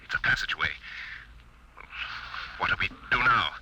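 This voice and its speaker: A man's voice: on the low side, expressive, whispered, close to an everyday voice. A man playing a hesitant, uneasy technician. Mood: alarmed. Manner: hesitant, halting with uh's. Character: uneasy young navy technician, superstitious. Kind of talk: 1950s radio drama acting. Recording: muffled, distant, hissy old radio recording.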